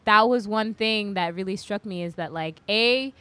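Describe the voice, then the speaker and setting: A woman co-hosting a casual podcast chat, talking in quick, filler-heavy asides. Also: medium pitch, a crowd in the background. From East African family. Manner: chatty, lots of "like", quick asides. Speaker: podcast co-host.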